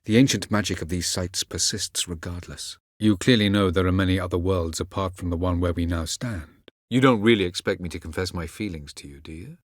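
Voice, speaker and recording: A cold, haughty elven mage from a fantasy game. His voice sits low and is recorded close and dry. He speaks in measured, formal, archaic phrases with a condescending edge. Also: no echo. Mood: haughty, disdainful. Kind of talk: video game character dialogue.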